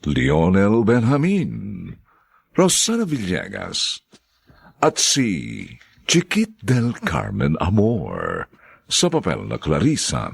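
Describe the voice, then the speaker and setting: A man's voice: sits low, huge pitch swings, takes it slowly, gravelly, slightly rough. A man narrating a radio drama and reading its credits in a dramatic scripted style.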